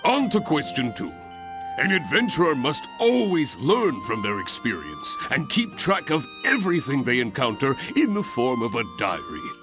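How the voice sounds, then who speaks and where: An actor playing a jovial older adventurers' guild examiner in a video game, speaking in grand, dramatic proclamations. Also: male voice, big pitch swings, normal speed, lightly acted. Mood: instructive, grand. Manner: booming, dramatic proclamations with chuckles. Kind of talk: English video game voice acting. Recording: music behind the voice.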